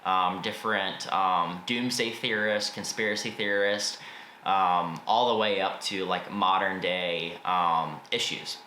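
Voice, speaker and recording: A man's voice: sits low, fairly even intonation, takes it slowly, bright, forceful tone. An American man giving a casual, informal and opinionated book review as a video host. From United States. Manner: informal, opinionated, mild swearing. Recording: roomy echo, faint background noise.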